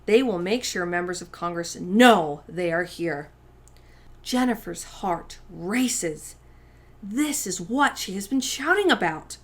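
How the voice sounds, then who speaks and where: A woman hosting a story time, reading a picture book aloud to young children with deliberate, emphatic phrasing. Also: lowish voice, lively intonation. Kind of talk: expressive children's read-aloud narration.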